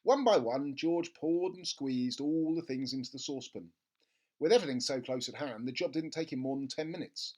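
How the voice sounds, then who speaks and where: A storyteller reading a children's book aloud in an expressive narrating style. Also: mid-range voice, normal speed. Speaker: storybook reader.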